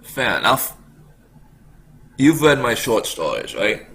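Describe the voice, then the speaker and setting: A man's voice: medium pitch, very animated, takes it slowly, fairly bright tone. A man hosting a podcast in a casual, conversational style. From Canada. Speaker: podcast host. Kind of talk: casual chat. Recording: slight background noise.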